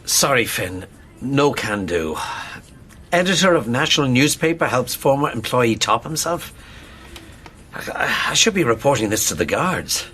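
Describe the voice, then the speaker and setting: An actor with an Irish accent playing a newspaper editor, a loyal but cautious friend, in a naturalistic radio play. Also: male voice, medium pitch, big pitch swings, a little slow, bright, forceful tone, faint music behind. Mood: troubled, reluctant.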